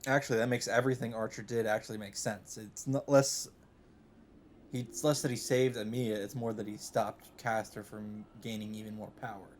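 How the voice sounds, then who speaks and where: A man hosting a let's play, chatting casually and reading game narration aloud, thinking out loud as he goes. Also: lowish voice, steady tone, moderate pace, a little hiss or hum.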